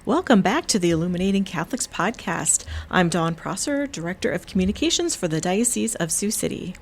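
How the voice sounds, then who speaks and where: A woman's voice: on the low side, expressive, a little fast, slight background noise. A woman hosting a podcast in an upbeat, welcoming way, with a few slips. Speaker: podcast host.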